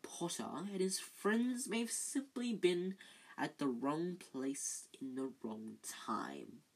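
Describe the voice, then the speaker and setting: A woman voicing a cold, sneering male schoolmaster, slow and hushed. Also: deep voice, very animated, breathy edge, full character voice, low-quality recording.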